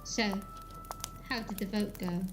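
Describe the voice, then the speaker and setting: A woman's voice: mid-range voice, steady tone, slow, natural voice. Woman as a stern matriarch over faint music. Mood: grave. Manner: severe, grave, formal. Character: stern, disapproving elderly Time Lady matriarch. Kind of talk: audio drama acting.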